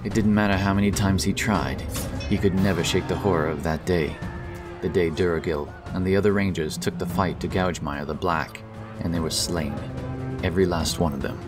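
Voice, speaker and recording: A man's voice: low voice, fairly even intonation, brisk, recorded from a distance. A man giving a dramatic scripted narration of a fantasy story. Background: loud epic background music.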